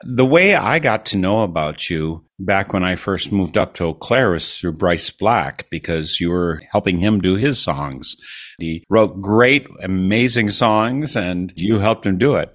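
A man's voice: sits low, expressive, unhurried, a bit bright. A man hosting a radio interview, asking questions in a friendly, conversational way. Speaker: radio host.

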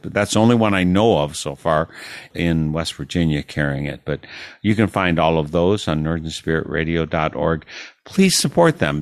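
Male community radio host, low voice, earnest, careful spelling-out, no room sound. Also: no room sound, studio quality. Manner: earnest, spells out names and web addresses.

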